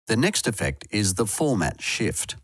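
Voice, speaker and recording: A man narrates a tutorial in a low voice that moves noticeably up and down. The delivery is scripted and instructional, explaining things step by step. The recording is close and very dry, like a studio voice-over. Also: sing-song, unhurried, no echo.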